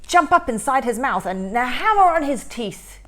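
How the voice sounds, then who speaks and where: A woman voicing a tiny, excitable male tooth troll in a storybook reading, frantic and shouty. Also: high voice, a little slow. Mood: angry. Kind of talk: storybook character voice.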